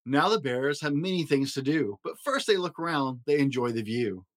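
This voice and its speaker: A man hosting a story podcast, reading a rhyming children's picture book aloud in an expressive, rhythmic way. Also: medium pitch, normal speed. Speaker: podcast host and story reader.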